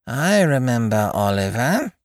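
A man voicing a suspicious, grudging old woman in her eighties who speaks slowly and accusingly. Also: mid-range voice, sing-song, no room sound, clean studio sound. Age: 80s. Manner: slow, crotchety, accusing.

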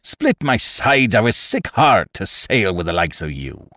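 A man's voice: lowish voice, big pitch swings, unhurried, sharp tone, no echo, clean studio sound, exaggerated voice. A man doing a cunning old pirate cook. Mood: scheming. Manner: gruff, cunning, persuasive. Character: scheming one-legged pirate cook, old sea dog. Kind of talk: audiobook character voice.

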